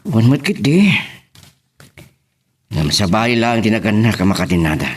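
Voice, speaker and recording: A low, husky voice with lively intonation: a man acting a devoted family man in an Ilocano love drama. He sounds earnest and weighed down by care. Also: moderate pace. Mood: troubled. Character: earnest husband and father, burdened but grateful.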